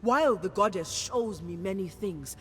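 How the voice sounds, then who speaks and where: An actress playing a solemn, devout serpent priestess in a game cutscene, speaking in a measured, ceremonial manner. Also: female voice, medium pitch, animated, a little hiss or hum, natural voice. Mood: reflective, firm. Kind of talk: dramatic game cutscene acting. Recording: reverberant hall with faint music behind.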